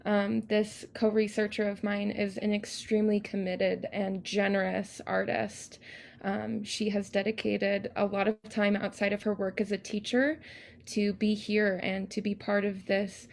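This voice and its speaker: A woman's voice: mid-range voice, little pitch movement, a little slow, vocal fry. A woman hosting an unscripted panel, speaking earnestly and somewhat formally as she introduces colleagues. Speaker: host, student researcher.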